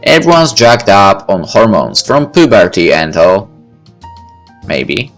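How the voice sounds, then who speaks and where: A man voicing a reserved, laid-back teenage schoolboy in a visual novel, low-key and noncommittal, with faint music behind. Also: big pitch swings, slow pace, fairly bright tone, heavily acted. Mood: low-key, hesitant. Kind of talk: visual novel character read-aloud.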